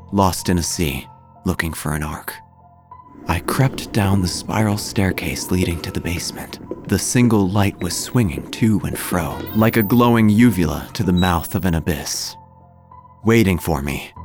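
Male actor as melodramatic, wry sleuth-narrator for audio drama; low register, highly varied delivery. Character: quirky, melodramatic male investigator telling his own tale. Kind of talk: scripted first-person noir-like narration. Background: music.

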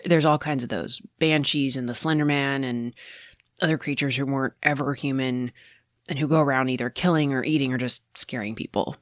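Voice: mid-range voice, no room sound, studio quality, close to an everyday voice. An actor playing a determined amateur ghost investigator in an audio drama, confiding in a conversational, wry, self-interrupting way. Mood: keen, explanatory. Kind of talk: naturalistic audio drama monologue.

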